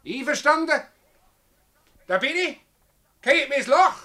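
A man's voice: very high-pitched, expressive, unhurried, sharp tone, exaggerated voice. A man acting a hot-tempered, indignant village farmer with a Swiss German accent, blustering and cursing in a radio drama. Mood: bitter.

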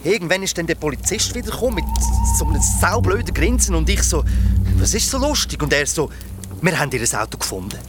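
A man's voice: on the high side, very animated, fast. An actor with a Swiss German accent playing a flustered, slangy young father in a horror radio drama. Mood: rattled, indignant. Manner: agitated, hesitant, slangy. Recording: music bed behind radio drama dialogue.

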